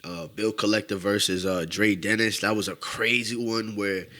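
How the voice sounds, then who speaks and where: A man's voice: on the low side, fairly even intonation, normal speed, sharp tone. A man with a New York accent tinged by the Midwest, a rapper talking casually as a podcast guest, loose and rambling with lots of "you know what I'm saying". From upstate New York.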